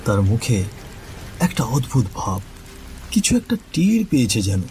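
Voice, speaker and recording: A man narrates a suspense story at a very slow, deliberate pace, in a mid-pitched voice. Faint rain sounds sit behind him. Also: big pitch swings, darkish tone, a little hiss or hum. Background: rain ambience.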